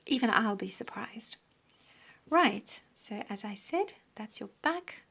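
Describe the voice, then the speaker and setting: A woman teaching a crochet pattern step by step, counting stitches aloud, her voice croaky and often dropping into a breathy half-whisper. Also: fairly high pitch, expressive, slow pace, bright, forceful tone. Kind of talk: step-by-step craft tutorial.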